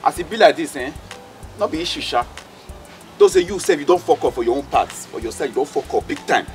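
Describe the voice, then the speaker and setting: Male actor as hot-tempered Nigerian friend, quick pace, swinging pitch, scolding, streetwise, threatening drama delivery. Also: wildly swooping pitch, gravelly, faint music behind, heavily acted. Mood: angry, scolding. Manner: blustering, scolding. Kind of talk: comedy drama acting in Nigerian Pidgin.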